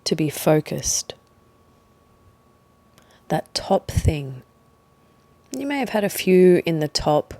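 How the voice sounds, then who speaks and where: Slow, low-pitched woman guiding meditation. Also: dry recording. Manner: gentle, unhurried, with long pauses between short phrases. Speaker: meditation guide. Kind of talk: guided meditation, slow soothing instruction.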